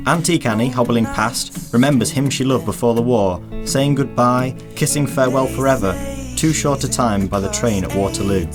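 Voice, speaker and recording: A man narrates a radio play in a mid-pitched voice. The poetic, scripted lines sit over clear guitar music. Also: moderate pace. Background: music with plucked guitar.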